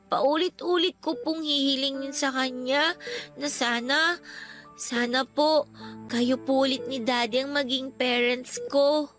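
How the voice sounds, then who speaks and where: A woman playing a gentle, ailing young daughter in a radio drama, polite and pleading. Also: high-pitched. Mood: tender, pleading. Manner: soft-spoken, respectful, pleading.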